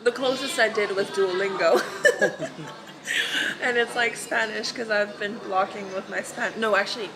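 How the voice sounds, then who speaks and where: A woman from Madagascar, a guest in a casual chat, playful and quick to joke. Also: mid-range voice, steady tone, rough recording.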